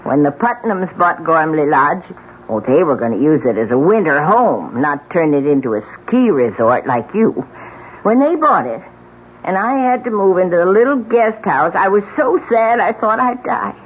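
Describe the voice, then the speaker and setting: Bitter old widow, meddling and ominous, highly animated delivery, muffled vintage radio sound. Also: female voice, normal speed, breathy edge. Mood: ominous, dramatic. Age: older. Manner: needling, dramatic storytelling. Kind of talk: old-time radio drama acting.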